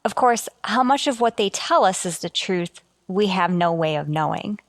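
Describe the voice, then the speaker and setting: A woman's voice: medium pitch, lively intonation, moderate pace, no room sound, clean studio sound, close to an everyday voice. A woman playing an eager, brave and deferential spaceship officer in a sci-fi radio drama, reporting in a clipped, respectful military way. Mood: earnest. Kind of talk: golden age sci-fi radio drama acting.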